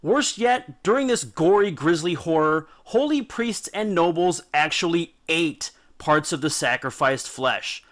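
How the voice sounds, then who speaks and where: A man's voice: on the high side, expressive, measured pace, few pauses, a bit bright. A man giving a scripted, informal educational narration, telling stories in a dramatic, jokey and slangy way with punchy emphasis. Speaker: narrator and host of a mythology video series.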